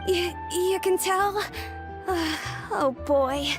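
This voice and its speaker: A woman's voice: very high voice, very slow, over music, full character voice. A woman voicing a timid, innocent little boy in a video game, with a small childlike voice and hesitant, earnest delivery. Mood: amazed, sheepish. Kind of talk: video game character acting.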